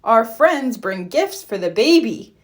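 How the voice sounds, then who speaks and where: A woman voicing a calm, reassuring father turtle in a children's storybook. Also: on the high side, takes it slowly.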